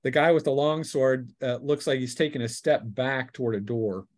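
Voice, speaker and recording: A man's voice: mid-range voice, fairly even intonation, moderate pace. A man narrating a tabletop role-playing game as game master in a casual, conversational way.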